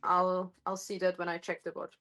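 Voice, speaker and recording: A woman streamer chatting casually in a home kitchen, her voice a little gruff today, rambling with lots of fillers and self-corrections, picked up by a phone microphone. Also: lowish voice, monotone, moderate pace, fairly bright tone, faint music behind. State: slightly gruff voice. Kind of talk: casual live-stream chat. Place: home kitchen with hard countertops.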